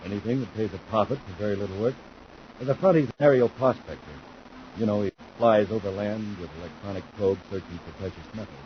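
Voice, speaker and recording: A man in a 1950s radio drama speaking in a measured, matter-of-fact way as narrator and crew officer. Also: lowish voice, natural voice. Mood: dry. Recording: dull old radio recording with hiss.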